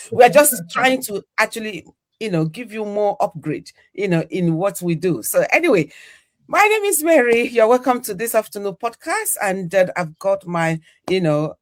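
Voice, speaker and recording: Chatty Nigerian-born woman hosting. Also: medium pitch. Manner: chatty, many "you know" fillers. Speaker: podcast host. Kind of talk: live online panel chat.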